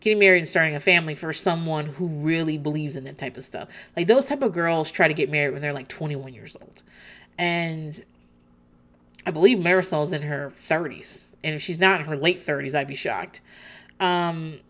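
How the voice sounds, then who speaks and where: A woman's voice: low voice, moderate pace, a bit bright. A woman host chatting casually as she recaps a reality show, swearing freely and laughing often.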